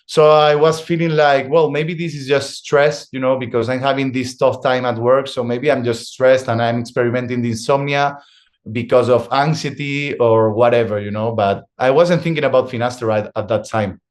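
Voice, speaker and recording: A young adult man from Spain with a Spanish accent, a guest in an interview, speaking English as a second language and talking haltingly, with frequent restarts as he searches for words. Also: medium pitch, steady tone. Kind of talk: conversational interview.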